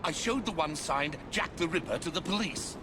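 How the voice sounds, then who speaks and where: A man's voice: a little high, lively intonation, moderate pace, noisy background, natural voice. An actor playing a frightened older Victorian newspaperman and anguished father in a video game, formal and agitated, with an English accent. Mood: sombre. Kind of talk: video game cutscene acting.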